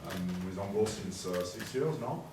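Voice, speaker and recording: A man with a French accent, a panelist at an aviation conference, speaking haltingly with many fillers. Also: fairly low pitch, noisy background. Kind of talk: panel discussion. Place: large conference hall. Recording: echoey hall, distant mic, faint ambience.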